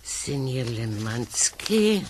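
An actress playing a composed, courteous boarding-school headmistress in a children's radio play, formal and measured, hesitant when puzzled. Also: female voice, extremely low pitch, very animated, over loud music, heavily acted. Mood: absorbed. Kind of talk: children's audio drama acting.

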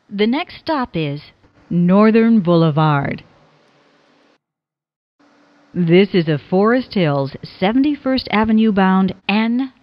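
A woman reading scripted subway announcements as a transit announcer, formally and in separate, clearly spoken phrases. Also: mid-range voice, no room sound, highs cut off. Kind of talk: scripted subway train announcement, pieced from clips.

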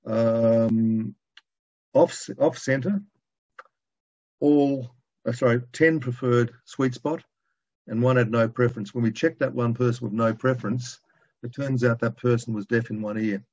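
A man in his sixties giving an informal technical presentation over a video call from a room at home, speaking in a halting, colloquial, conversational way. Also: mid-range voice, fairly even intonation, darkish tone. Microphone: video call, computer microphone. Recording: dull lo-fi video call audio.

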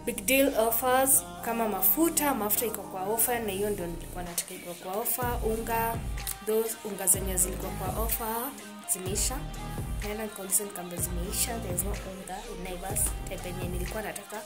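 A woman's voice: medium pitch, fairly even intonation, fast talker, loud music behind the voice. Chatty young Kenyan woman vlogger. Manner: chatty, laughs often, switches languages. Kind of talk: casual vlog chat mixing Swahili and English. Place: small apartment room indoors. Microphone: phone camera at arm's length.

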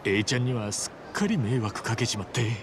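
A man voicing a loyal, big-hearted middle-aged ex-yakuza hero with blunt, rough street speech in a video game. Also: mid-range voice, lively intonation, brisk, noisy background, lightly acted. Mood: friendly. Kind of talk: Japanese video game voice acting.

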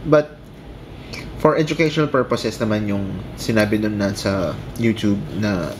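Male, Filipino accent, fairly low voice. Casual, halting commentary, clear background noise on the recording. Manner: hesitant, rambling, trailing off mid-thought. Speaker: amateur radio operator and video commentator.

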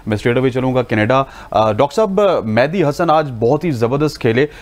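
A man's voice: mid-range voice, expressive, fairly quick, a little mellow. A man hosting a studio cricket show, reading stats and questioning guests with frequent fillers. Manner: presenter tone with many fillers. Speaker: sports show host. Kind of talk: TV sports presenting.

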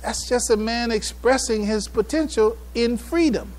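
High-voiced man giving a religious address. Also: a little mellow. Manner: halting, repeats phrases for emphasis. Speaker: speaker addressing a mosque congregation.

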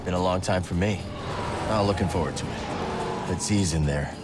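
An actor playing an earnest, determined young Jedi hero in naturalistic video game cutscenes. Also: male voice, low-pitched, normal speed, over loud music, natural voice. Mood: focused.

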